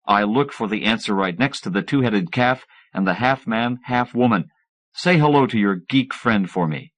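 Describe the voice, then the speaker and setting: Pompous male professor, voiced by a male narrator: cold, dismissive, sarcastic, lowish voice, audiobook dialogue. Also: animated, moderate pace, a bit bright.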